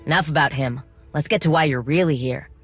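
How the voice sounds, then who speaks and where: A friendly, determined tinkerer in a video game. Her voice is low for a woman, with a casual and reassuring delivery. Music plays behind her. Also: brisk. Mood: friendly, upbeat. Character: friendly, determined female droid tinkerer and ally.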